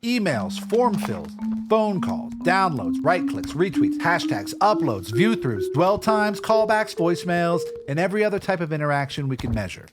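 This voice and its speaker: A man's voice: on the high side, very animated, normal speed, over loud music. A man playing a defensive, jargon-loving marketing director in a comic musical audio drama. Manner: earnest, rattles off buzzword lists.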